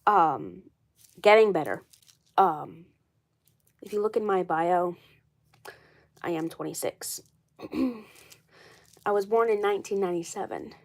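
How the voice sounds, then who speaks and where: A woman's voice: fairly low pitch, expressive, measured pace, long pauses. Froggy-voiced young woman with a cold. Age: 20s. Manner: rambling, repeats herself, defensive. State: hoarse with a cold. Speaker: doll collector livestreaming. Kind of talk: casual livestream chat.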